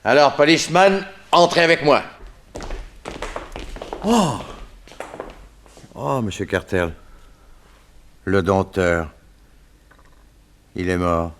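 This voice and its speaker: An actor playing a nervous, officious male coroner in a radio serial, hesitant and excitable in his speech. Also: lowish voice, slow pace, pauses often. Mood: alarmed. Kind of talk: French detective radio serial acting.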